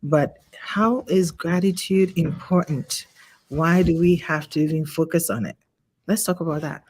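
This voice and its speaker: A woman's voice: sits low, measured pace, a little mellow, slight background noise, poor audio. A woman hosting an online interview, greeting viewers and asking long, searching questions. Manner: welcoming, poses long questions. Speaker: host. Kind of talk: hosted interview.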